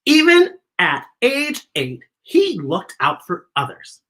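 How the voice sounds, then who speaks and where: Very animated, bright-voiced woman reading to kids. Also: medium pitch, slow pace. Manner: playful, theatrical, exclamatory. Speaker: children's story time host. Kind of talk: animated picture-book read-aloud with chatty asides to children.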